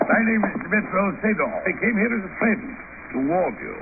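A smooth fake-friendly villain type, very polite, swoopy voice, tinny 1950 radio. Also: male voice, high-pitched, very animated, brisk. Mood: courteous, confiding. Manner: florid, over-polite, chuckling. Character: suave, oily, falsely friendly shipping magnate of intrigue. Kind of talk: 1950 radio drama acting. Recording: hissy, tinny old radio broadcast recording.

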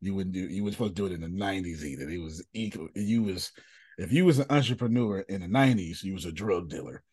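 A man, a podcast host who sounds casual and drops into a soft, low voice when he talks about himself. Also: fast, dry recording. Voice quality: raspy. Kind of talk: casual group chat and personal talk.

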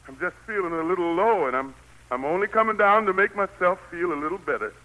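A man's voice: high voice, expressive, fast talker, bright, forceful tone, low-quality recording. An actor playing a weary, stubborn, fatherly God in an old radio drama, with a grave manner. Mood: conflicted, softening. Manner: folksy Southern dialect, grave and authoritative. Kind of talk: 1940s radio drama acting. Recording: old 1940s radio broadcast recording.

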